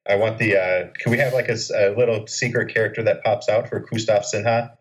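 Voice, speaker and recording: Low-voiced wisecracking male co-host. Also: steady tone, brisk, slight background noise, low-quality recording. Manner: joking, sarcastic, casual swearing. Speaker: podcast co-host. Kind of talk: casual podcast chat.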